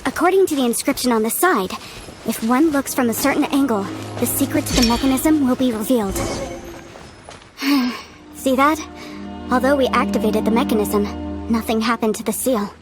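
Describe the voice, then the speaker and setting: Game role: young female researcher played by an actress, high voice, earnest and a little hesitant, clear music bed. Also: normal speed, natural voice. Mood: earnest, puzzled. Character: earnest, devout young female amateur archaeologist. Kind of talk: video game voice acting.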